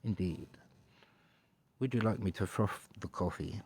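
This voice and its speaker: A man voicing a formal, courteous British butler with a posh English accent, polite and gently devoted. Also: low-pitched, measured pace, breathy edge, full character voice.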